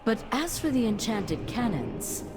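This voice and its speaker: Elegant, lilting elven sorceress. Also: female voice, fairly high pitch, huge pitch swings, measured pace, heavy background noise. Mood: courtly, intrigued. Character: elegant, curious female elven arch-sorceress. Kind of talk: fantasy game cutscene acting. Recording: game music behind the voice.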